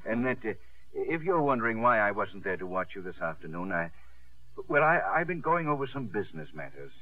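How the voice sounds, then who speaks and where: Anxious, expressive middle-aged father. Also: lowish voice, no room sound. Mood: troubled, firm. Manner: halting, repeats words emphatically. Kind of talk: 1950s radio drama acting. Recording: muffled vintage 1950s radio broadcast.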